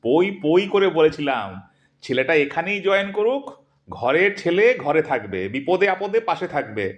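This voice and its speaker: A man's voice: a little high, animated, measured pace, highs cut off. A man playing an exasperated, sarcastic middle-aged husband in an audio drama, bickering in an argumentative, emphatic, theatrical way. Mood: bitter, scolding. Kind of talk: Bengali audio drama acting.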